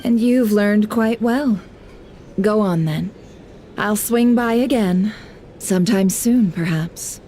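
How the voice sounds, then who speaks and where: A woman voicing a composed, shrewd intel broker in a video game, speaking in a formal, measured, coolly teasing way. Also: medium pitch, warm tone, slight background noise, lightly acted. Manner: formal, measured, understated menace.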